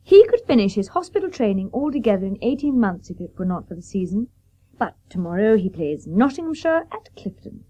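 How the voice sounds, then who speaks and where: A woman's voice: mid-range voice, big pitch swings, brisk, warm tone. An actress playing a genteel, chatty lady hostess in a radio drama. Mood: sociable. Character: genteel, chatty mother-to-be's sisterly confidante and hostess. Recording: dull old radio broadcast.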